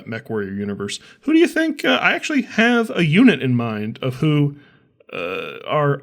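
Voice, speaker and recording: A man's voice: medium pitch, a bit bright. Casual, rambling man hosting a channel. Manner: self-deprecating, easygoing rambling with asides. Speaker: channel host, author and game designer. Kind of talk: casual rambling vlog talk.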